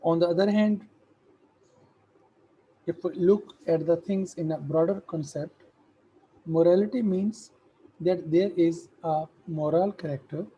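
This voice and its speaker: A middle-aged man, an Urdu speaker, giving an academic lecture in a slow, measured way with hesitant fillers. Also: on the high side, warm tone, muffled recording, a little hiss or hum.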